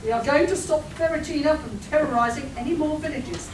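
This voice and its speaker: A brisk, high-voiced young woman inventor played by a woman, dry, decisive and a little exasperated. It is a live radio play, recorded from a distance in an echoey room. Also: natural voice. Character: brisk, exasperated young female inventor-adventurer, confident leader. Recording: roomy, distant, noisy live stage recording.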